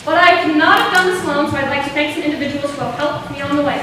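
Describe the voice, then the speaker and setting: Echoey, high-pitched young woman addressing an audience. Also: racing. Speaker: playwright introducing own school play. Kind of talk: informal pre-show welcome speech. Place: echoey performance space with seated audience. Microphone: distant video camera at the back. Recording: distant microphone, echoey noisy hall.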